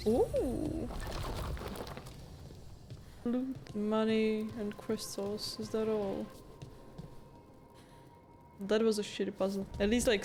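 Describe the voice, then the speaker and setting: A woman comments casually on a video game she is playing. She speaks a bit slowly at a medium pitch, offhand and musing, with game music clearly behind her. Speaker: gamer, video creator.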